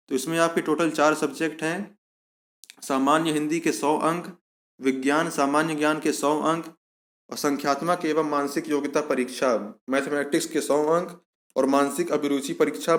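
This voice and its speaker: A man giving an instructional walkthrough of an official notice, repeating key points for emphasis. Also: a little high. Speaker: exam information channel host. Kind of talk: explanatory walkthrough of a recruitment notice.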